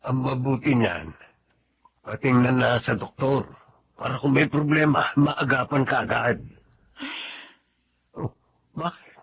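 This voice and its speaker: A man's voice: mid-range voice, takes it slowly, creaky, pauses often, husky, lo-fi sound, heavily acted. A man acting a doting, practical grandfather in a Filipino melodrama, speaking earnestly and expressively. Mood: concerned.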